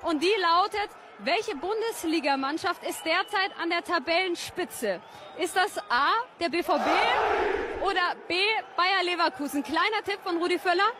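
A woman presenting on sports television, announcing a prize question in a loud, announcer-like way. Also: extremely high pitch, moderate pace, noisy background.

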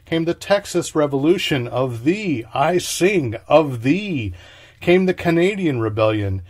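A man's voice: a little high, expressive, measured pace. A man reading a literary short story aloud in a declamatory, incantatory way, phrase by short phrase. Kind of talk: dramatic literary reading, scripted narration.

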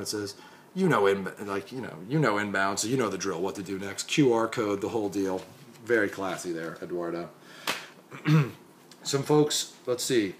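Hoarse young male consultant, tired, casual chatty shout-outs, lowish voice, faint background hiss. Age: young adult. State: hoarse and exhausted. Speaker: marketing consultant. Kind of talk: casual conference recap vlog. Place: small dim room indoors.

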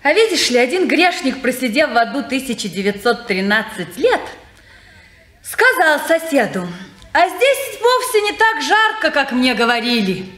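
Sharp-tongued young daughter: high-pitched, mocking, echoey old radio play. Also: female voice, very animated, moderate pace. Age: young adult. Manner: ironic, teasing. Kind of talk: 1950s radio drama acting.

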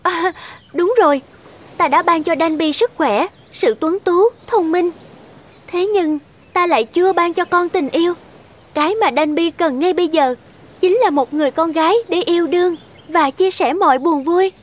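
A woman voicing a gracious, motherly sea goddess in a cartoon, with a stately, measured manner. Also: very high voice. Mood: caring, solemn. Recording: loud music under the voice.

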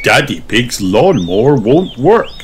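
A man narrates a children's storybook at a slow, deliberate pace. His intonation swoops up and down expressively, pitched for young listeners. Music plays clearly behind his voice. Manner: animated, deliberate, child-directed delivery. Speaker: children's book reader.

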